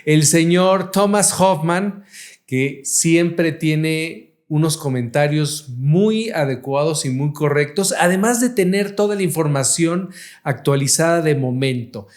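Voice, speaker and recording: A man's voice: on the high side, slow pace. A man hosting a casual real estate podcast and introducing his guests with effusive praise and frequent fillers. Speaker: podcast host, realtor.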